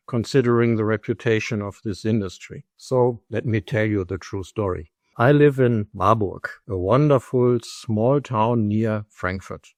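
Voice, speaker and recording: A middle-aged man with a German accent speaks at a mid-range pitch, calm and measured, laying out his points in full sentences. The recording is close and dry, with no room around the voice. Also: no room sound. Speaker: guest, company executive and lecturer. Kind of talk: conversational podcast interview.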